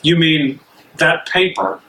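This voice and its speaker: A man's voice: fairly high pitch, takes it slowly, bright, forceful tone, rough recording. A man acting an angry, bitter imprisoned dissident in an amateur stage reading, agitated, challenging and insistent. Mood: scornful.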